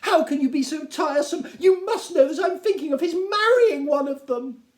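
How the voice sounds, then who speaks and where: A man voicing a fussy, excitable middle-aged wife, gushing and plaintive, in a theatrical reading of a classic novel. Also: very high voice, normal speed, pitched above the performer's own voice. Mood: exasperated. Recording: roomy, echoing indoor sound.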